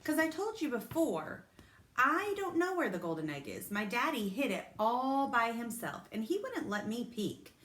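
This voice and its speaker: A woman's voice: fairly high pitch, sing-song, normal speed, a bit bright, reverberant, pitched above the performer's own voice. A woman reading aloud, voicing a spoiled, showy rich little girl who brags in a sing-song way. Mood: pleading.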